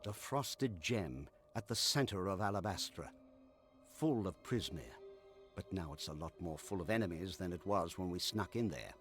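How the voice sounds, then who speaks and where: A scholarly mage in a fantasy game. His fairly low male voice is articulate and intense, with a dramatic, deliberate delivery and music playing behind it. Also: expressive. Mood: ominous. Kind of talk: video game character dialogue.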